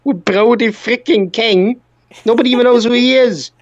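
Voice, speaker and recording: A man's voice: extremely high pitch, normal speed, muffled recording. A man hosting a wrestling podcast, chatting casually and arguing with exclamations.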